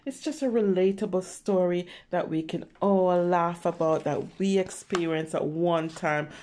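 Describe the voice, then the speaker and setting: A woman reading a picture book aloud with simple, expressive commentary for young children, exclaiming and checking in with her listeners. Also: fairly low pitch, a little slow, a little hiss or hum. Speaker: children's story reader.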